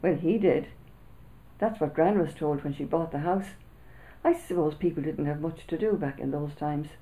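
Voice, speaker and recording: A woman's voice: low-pitched, moderate pace, dark tone, poor audio. Woman reading as a cheerful chubby boy. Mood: knowing. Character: easygoing, grinning roly-poly boy.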